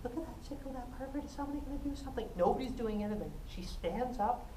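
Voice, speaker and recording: A woman giving a self-defense talk, animated and jokey, often laughing and acting out what people said. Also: fairly high pitch, quick pace. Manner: animated, jokey, laughs a lot, acts out quotes. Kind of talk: lively storytelling talk to a class. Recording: echoey room, mic at a distance, faint noise.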